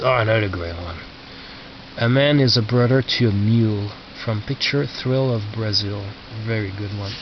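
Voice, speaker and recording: A man talks through his collection in a casual, halting way, muttering asides to himself as he goes. His mid-pitched voice sits back from the mic in a dull recording with very noticeable noise. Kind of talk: casual unscripted show-and-tell commentary. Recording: lo-fi, noisy, distant mic with clicks.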